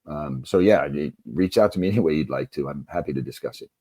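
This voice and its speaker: A man's voice: rumbling low, fast talker, mellow, dry recording. A middle-aged man answering as an interview guest in an earnest, conversational way, with frequent asides. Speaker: interview guest, basketball coach and author.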